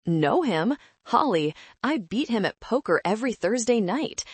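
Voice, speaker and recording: Unruffled, smug male partner for an audiobook, teasing and sure of himself, read by a woman, lively pitch. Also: measured pace, breathy edge, no room sound, exaggerated voice. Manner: teasing, self-assured.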